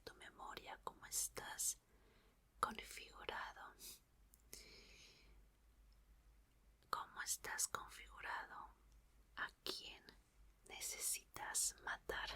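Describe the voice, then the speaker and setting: Young female scientist and android mechanic played by a woman, soothing ASMR roleplay, breathy bright whisper, unhurried, repeats words softly. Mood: focused, soothing.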